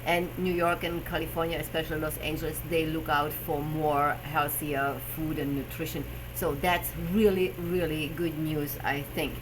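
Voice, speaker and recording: A woman's voice: low voice, flat delivery, moderate pace, hardly any pauses, noisy background. A woman with a German accent, hosting a live stream as a personal trainer, chatting about health with frequent fillers while searching for English words. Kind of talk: casual live stream talk.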